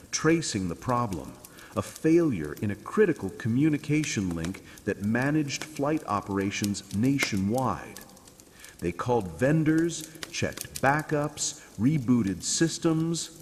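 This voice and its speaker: A man narrating a scripted bedtime story in a calm, soothing, dramatic way. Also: medium pitch, slow, long reverb tail, slight background noise.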